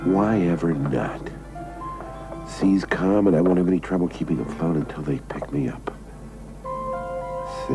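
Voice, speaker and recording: An actor playing an anxious middle-aged American gambler, muttering to himself in an agitated, scheming way in a television drama. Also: male voice, very deep voice, gravelly, exaggerated voice, rough recording. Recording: loud music behind voice.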